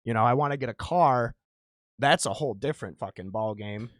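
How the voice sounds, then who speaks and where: A man's voice: medium pitch, brisk, no echo. Man in his thirties hosts a podcast; frank and wandering, dry close sound. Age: 30s. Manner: rambling, candid, self-deprecating. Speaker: podcast host. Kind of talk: casual podcast chat.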